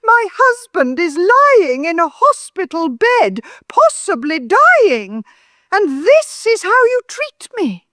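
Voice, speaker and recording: A woman's voice: very high voice, very animated, slow pace, fairly bright tone, no room sound, studio quality, heavily acted. An actress playing a bitter, manipulative older mother-in-law in an audio drama, theatrical and guilt-tripping. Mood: tearful, pleading.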